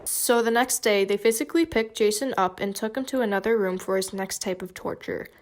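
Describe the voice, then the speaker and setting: Young female narrator, mid-pitched, scripted storytelling, roomy reverb and slight noise. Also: a little fast. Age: young adult. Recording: noisy hall with long reverb.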